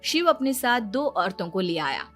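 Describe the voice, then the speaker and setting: Female cartoon story narrator: expressive, swinging intonation over a musical bed. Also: medium pitch, moderate pace, soft background music.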